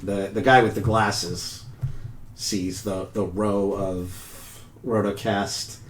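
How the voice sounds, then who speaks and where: A man narrating a tabletop game as game master, improvising as he goes in halting phrases. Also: on the low side, level tone. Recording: roomy echo with background noise.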